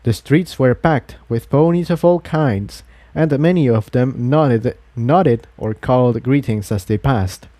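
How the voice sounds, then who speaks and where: A man narrates an adventure story from a script. His voice sits at an ordinary middle pitch. The recording is dry and close, with almost no room to it. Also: a little slow, hardly any pauses, warm tone, dry recording. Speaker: fan fiction narrator.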